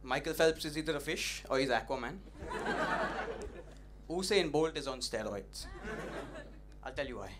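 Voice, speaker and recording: A young man doing an open-mic stand-up set, punchy and animated, teasing the audience, on a stage mic. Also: mid-range voice, moderate pace, pauses often. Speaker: stand-up comedian. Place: comedy club stage. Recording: noisy live club recording.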